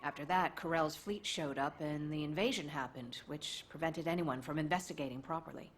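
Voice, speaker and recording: Woman playing a low-voiced, earnest female veteran. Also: brisk, slight background noise, lightly acted. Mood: earnest, sombre. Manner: serious, earnest. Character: earnest, capable, sombre female squad veteran. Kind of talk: video game voice acting.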